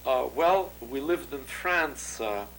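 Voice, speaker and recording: An older man giving a measured, recalled testimony as an interviewee, with frequent pauses and filler sounds. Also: medium pitch, animated, fairly bright tone, a little hiss or hum, poor audio.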